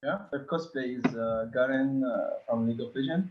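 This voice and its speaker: A man's voice: mid-range voice, normal speed, highs cut off. A man from Tunisia talking as a contest entrant, hesitant and full of fillers.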